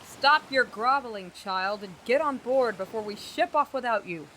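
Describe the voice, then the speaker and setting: A woman's voice: a little high, expressive, normal speed, bright, forceful tone, slight background noise, rough recording. A woman playing a stern, commanding captain of the royal guard in a fantasy audio drama, speaking bluntly and with measure. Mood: curt, commanding. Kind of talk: scripted fantasy audio roleplay.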